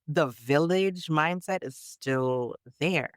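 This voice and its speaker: A woman's voice: low-pitched, slow pace, no echo, clean studio sound. A woman from Canada chatting on a podcast as a storyteller guest, emphatic and reflective in how she talks. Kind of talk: conversational podcast chat.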